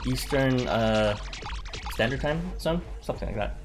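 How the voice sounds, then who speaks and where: A young man, streaming a game and chatting casually with viewers in a halting way, full of fillers and little chuckles. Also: mid-range voice. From Canada. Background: game music.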